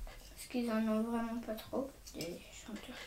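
A woman's voice: a little high, little pitch movement, measured pace, dark tone. A teenage girl answering interview questions hesitantly. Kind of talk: casual spoken testimony. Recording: echoey room, faint noise.

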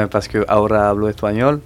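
Young male guest, low register, slangy, rambly. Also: normal speed. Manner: rambling, slangy, full of fillers and restarts. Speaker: musician guest. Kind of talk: casual interview chat.

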